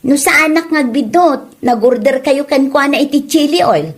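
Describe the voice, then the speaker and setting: An actress as a nosy village gossip in a comedy audio drama. Her voice is very high. She is spiteful and pushy, always insinuating something. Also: moderate pace, natural voice.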